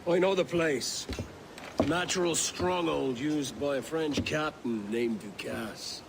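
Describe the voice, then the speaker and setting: Measured pirate for a game, creaky, higher-pitched, understated, noisy ambience. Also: male voice. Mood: cautious. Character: measured, cautious male pirate seaman. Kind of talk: dramatic video game acting.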